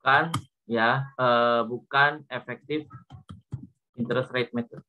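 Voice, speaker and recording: A man's voice: mid-range voice, steady tone, slow, narrow, tinny audio. A man with an Indonesian accent lecturing informally as a teacher, explaining worked problems step by step and often repeating points with small confirming tags. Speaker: accounting lecturer.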